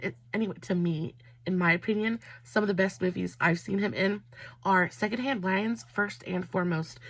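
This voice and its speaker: Beauty vlogger, woman, mid-pitched, a bit hoarse from a cold, effusive and chatty, dry close sound. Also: no echo, poor audio. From Southern United States. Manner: warm, effusive, drawn-out emphatic phrasing. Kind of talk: chatty makeup vlog with storytelling.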